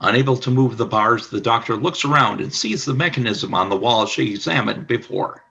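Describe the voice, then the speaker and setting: A man narrating a scripted radio play as announcer, in a formal, deliberate storytelling manner. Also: medium pitch, creaky, pushed and bright, husky, highs cut off.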